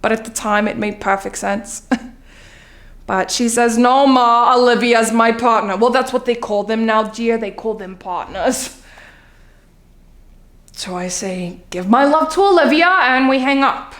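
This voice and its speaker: A woman acts out a middle-aged Long Island housewife in a comic theatrical monologue. Her voice is high, and she confides in a nervous, candid, rambling way with hesitant asides. The room around her is echoey. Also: unhurried, fairly bright tone. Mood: chatty, wry.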